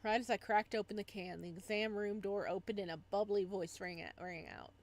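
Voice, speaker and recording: A woman's voice: mid-range voice, steady tone, brisk. A woman hosting a playthrough, reading visual novel narration aloud expressively, with casual asides.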